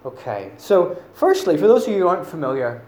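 A man's voice: on the high side, very animated, a little fast, darkish tone, reverberant, a little hiss or hum. A man from Northern Ireland with a Northern Irish accent, preaching a sermon in a chatty, self-deprecating way with many fillers. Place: church hall.

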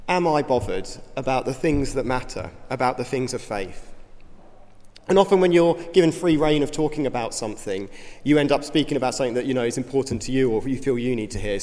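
A slightly hoarse man speaks in an informal, earnest way, with a few fillers. His voice sits at a middling pitch. The long reverb of a church hall trails each phrase. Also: a little mellow. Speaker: youth ministry director. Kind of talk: church talk.

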